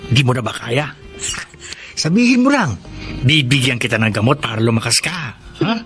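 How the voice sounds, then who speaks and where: A man's voice: medium pitch, big pitch swings, moderate pace, fairly bright tone, faint music behind. A Filipino actor playing a lecherous, taunting bar patron in a radio drama, drawling and chuckling as he leers. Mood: leering, taunting. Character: lecherous, taunting male patron of a beer house.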